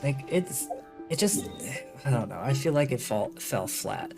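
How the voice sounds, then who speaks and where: A video game streamer giving casual live commentary, rambling and thinking out loud, with opinionated, sarcastic asides. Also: medium pitch, very animated, unhurried, creaky. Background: game music.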